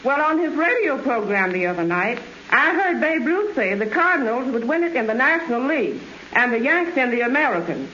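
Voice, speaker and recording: A woman's voice: high voice, big pitch swings, a little fast, hardly any pauses, bright, forceful tone. A woman on a radio broadcast from her home, reading lines in a teasing, playful way. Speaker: guest's wife. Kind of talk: scripted remote radio chat. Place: home trophy room. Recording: echoey, dull remote radio line, hiss.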